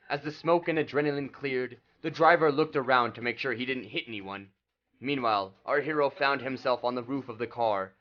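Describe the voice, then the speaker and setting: A man's voice: medium pitch, dull audio, slight background noise. A man giving scripted dramatic narration as the narrator of a story.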